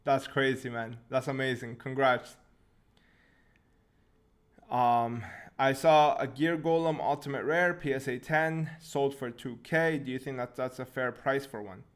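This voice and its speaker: A young man from Syria who grew up in Canada, hosting a casual live question-and-answer stream, relaxed and quick to laugh. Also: medium pitch. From Syria, raised in Canada. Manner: relaxed, laughs often, restarts words. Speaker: channel host answering live chat questions.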